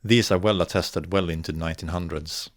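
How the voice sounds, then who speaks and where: A young man with a Swedish accent narrates an educational explainer in a low, even voice. He speaks in a measured, deliberate way and takes care to articulate each point. The recording is close and dry, with no room sound. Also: no echo. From northern Sweden. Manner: measured, careful, slows to articulate example words. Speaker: video essayist on dialects.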